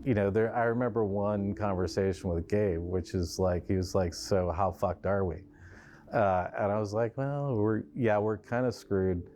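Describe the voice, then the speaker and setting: This is a man with a very deep, mellow voice, a studio executive remembering old deals for a documentary. He talks casually and conversationally. He drops in quoted remarks as he goes. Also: slight background noise. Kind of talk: documentary interview recollection.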